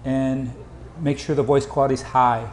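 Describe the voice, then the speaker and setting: A man giving an informal sales presentation over slides, with hurried, clipped phrasing. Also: medium pitch, flat delivery. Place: indoor room, voice-over for slides. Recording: roomy echo, faint background noise.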